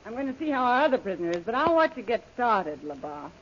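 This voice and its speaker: Imperious, mocking villainess, animated and commanding, vintage jungle radio drama with faint hiss. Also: female voice, fairly high pitch, fast. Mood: cool, commanding. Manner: imperious, sardonic. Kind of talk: old-time radio adventure serial acting. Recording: muffled, noisy old radio recording.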